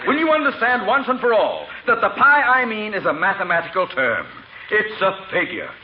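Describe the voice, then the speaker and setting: An actor playing a blustering, easily exasperated mayor in a pompous, sputtering manner, in 1940s radio comedy style. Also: male voice, sits high, very animated, moderate pace. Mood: exasperated, indignant. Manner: pompous, sputtering, elaborate wording. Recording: muffled, very noisy, echoey old radio recording.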